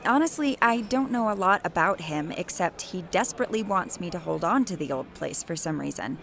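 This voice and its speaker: Wry young woman, slightly creaky voice. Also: on the low side, lively intonation, fairly quick, a bit bright. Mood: casual. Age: young adult. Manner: dry, sarcastic, hesitant. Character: wry, guarded young woman grieving her uncle. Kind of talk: naturalistic audio drama acting. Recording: music bed behind the voice.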